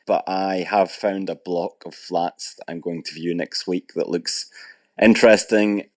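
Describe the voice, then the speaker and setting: A Scottish man in his thirties, speaking as an interviewed guest in a measured, thoughtful way with pauses. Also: low voice, a little mellow, no room sound. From Scotland, Ayrshire. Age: 30s. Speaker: guest property investor. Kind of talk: recorded interview answers.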